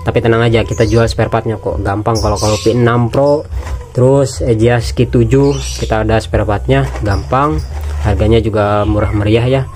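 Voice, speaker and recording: A man's voice: lowish voice, darkish tone. A man gives a casual, informal product walkthrough, explaining step by step and repeating phrases. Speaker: product reviewer and seller.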